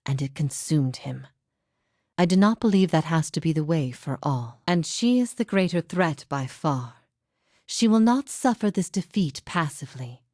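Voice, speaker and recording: An actress playing a grave, steadfast heretic prophet in a fantasy video game, speaking in a solemn, measured, formal way. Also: female voice, low voice, a little mellow. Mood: solemn, resigned. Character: grave, steadfast female heretic prophet haunted by past lives. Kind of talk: dramatic fantasy game voice acting.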